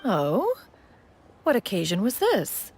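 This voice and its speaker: A woman's voice: medium pitch, huge pitch swings, takes it slowly, darkish tone, heavily acted. A woman playing a proud, dignified, thousand-year-old immortal in an anime game, speaking in a stately, archaic manner. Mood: indignant pride. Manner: stately, archaic, refers to self as "one". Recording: music behind the voice.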